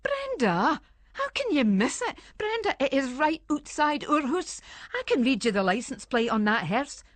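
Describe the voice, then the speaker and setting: A woman's voice: high-pitched, sing-song, normal speed, no echo. An anxious Scottish housewife chatters away in broad, homely dialect. She rambles nervously in the manner of a radio play monologue. The sound is dry and close, with no room around her. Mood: agitated.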